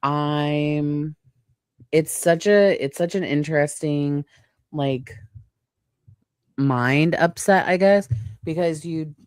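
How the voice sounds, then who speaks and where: A woman's voice: low voice, slow, long pauses, a little mellow, clean tone, no room sound, clean studio sound. A woman in her late thirties chatting casually as a podcast co-host, rambling and acting out imagined quotes. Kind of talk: casual personal chat.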